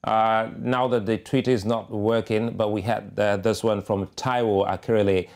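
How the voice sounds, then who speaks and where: A man hosting a news panel, wrapping up in a hurried, stumbling way. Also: on the low side, flat delivery, a little mellow. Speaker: news show host. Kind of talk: moderating a panel.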